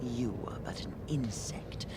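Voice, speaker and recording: A woman's voice: rumbling low, a little slow, whispered. An actress playing a haughty, menacing dragon in a noble lady's guise, imperious and contemptuous, in a fantasy game. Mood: cold contempt. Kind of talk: theatrical fantasy game voice acting.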